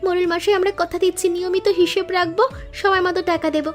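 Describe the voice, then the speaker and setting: A woman's voice: extremely high pitch, flat delivery, quick pace. A woman voicing a hardworking young flower-seller sister in a cartoon moral story, earnest, respectful and grateful. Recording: music under the voice.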